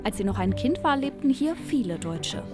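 A woman's voice: a little high, very animated, moderate pace. A young woman reporter narrating a travel TV segment in a light, chatty way. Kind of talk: scripted TV voice-over narration. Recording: voice-over with clear background music.

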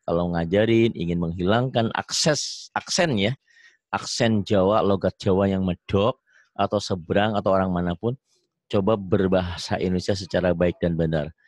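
A man with a Sundanese accent teaching Quran reading over a video call, drawing out syllables slowly, correcting and praising a student. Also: low voice, darkish tone, dry recording. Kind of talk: online reading lesson.

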